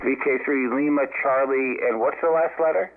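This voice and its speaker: An American man working as an amateur radio operator, speaking haltingly in an unscripted exchange over a radio link. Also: mid-range voice, heavy background noise. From United States. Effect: radio transmission. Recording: narrow band-limited ham radio audio. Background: radio band sound.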